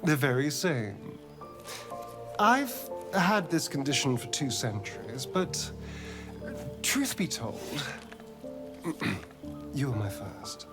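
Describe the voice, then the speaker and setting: Sly vampire, vain, flirtatious, unhurried, widely swooping pitch, video game drama. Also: male voice, big pitch swings, over music. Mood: seductive. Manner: theatrical, flirtatious, arch, drawn-out delivery. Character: sly, vain male vampire, centuries old, hungry for power.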